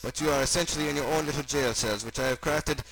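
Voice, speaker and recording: A man's voice: medium pitch, quick pace, a bit bright, voice pushed up, natural voice, rough recording. A man voicing an eccentric, sinister German mad scientist with a mock German accent and theatrical, over-enunciated delivery. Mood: gloating, sinister.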